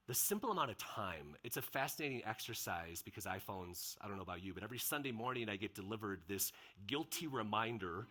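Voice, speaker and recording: Man, middle-aged, panel moderator, deep voice, bright edge, conversational. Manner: conversational, asks questions. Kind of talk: panel discussion.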